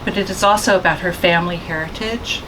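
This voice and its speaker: A woman with a soft voice reading a formal introduction of a speaker at a bookstore event. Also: lowish voice, fast, background noise.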